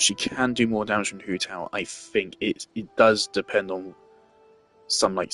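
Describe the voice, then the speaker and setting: A man's voice: sits low, a bit bright. A man giving casual, rambling gameplay commentary, blunt and swearing freely. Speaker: gaming streamer. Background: faint game music.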